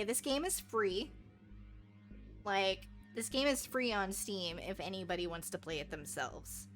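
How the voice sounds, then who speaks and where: A woman livestreamer chatting casually with her viewers in an expressive, bubbly, rambling way. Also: medium pitch. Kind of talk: casual live game stream chat with viewers.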